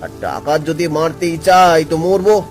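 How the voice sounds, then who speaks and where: A humble woodcutter father from a cartoon folk tale , played by a man. His voice is a bit high, earnest and emphatic as a determined protector. Faint music and rain sit behind him. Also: very animated, moderate pace. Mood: resolute. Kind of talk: cartoon voice acting.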